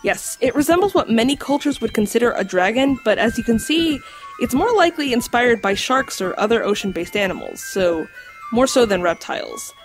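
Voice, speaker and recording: A woman playing an earnest, scholarly guide to mythical creatures in an audio drama, explaining in a measured, slightly formal way. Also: on the high side, lively intonation, lightly acted, low-quality recording. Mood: explanatory. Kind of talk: audio drama acting, storytelling. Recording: music under the voice.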